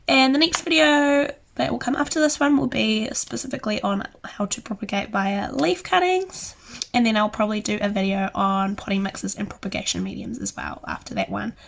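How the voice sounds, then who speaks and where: Hoarse, croaky New woman. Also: fairly high pitch, animated, fairly quick, a bit bright, a little hiss or hum. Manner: chatty and rambling, many "so yeah" fillers. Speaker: houseplant channel host. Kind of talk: casual tutorial to camera.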